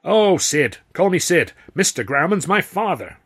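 A man voicing a brash, pushy American theatre owner who talks loudly, with a husky voice. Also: on the high side, very animated, measured pace, pitched above the performer's own voice, exaggerated voice. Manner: loud, pushy, showman bluster.

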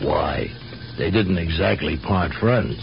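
Deep doubtful police boss, careful questioning, muffled noisy old radio show. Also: male voice, slow pace, creaky, exaggerated voice. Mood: cautious doubt. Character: cautious, skeptical male police inspector. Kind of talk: radio mystery drama acting.

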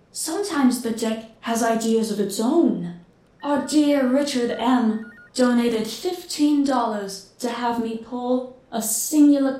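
A mystical tarot reader in an audio drama, her unhurried, slightly high voice drawing out each word. She sounds set back from the mic, in a roomy space. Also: darkish tone, recorded from a distance. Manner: slow, deliberate, mystical. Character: mysterious female tarot reader, knowing and languid.

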